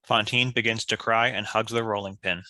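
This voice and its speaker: Bright, forceful script narrator. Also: medium pitch, fairly even intonation, normal speed, rough recording. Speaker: stage direction reader. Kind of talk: scripted narration, reading stage directions.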